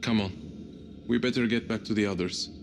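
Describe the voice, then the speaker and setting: An actor playing a reflective, hopeful widowed space crewman in a cinematic game, speaking with restraint in a low gravelly voice. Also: steady tone, a little fast, background noise. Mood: purposeful. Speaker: video game character, spacecraft crew member.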